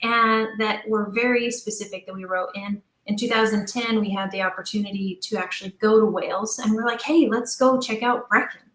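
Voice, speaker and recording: A woman's voice: mid-range voice, fairly quick, hardly any pauses. Chatty woman in an echoey room. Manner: chatty, animated retelling with quoted dialogue. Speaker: interview guest, audio drama creator. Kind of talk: casual conversational storytelling. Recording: roomy, echoing sound.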